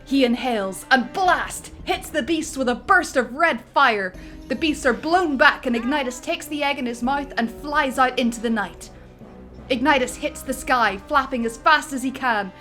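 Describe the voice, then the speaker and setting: A woman with a high, bright voice narrates an action script. She is theatrical and playful in a comedic dramatic style, with faint music underneath. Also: moderate pace. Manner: theatrical, breaks easily into giggles.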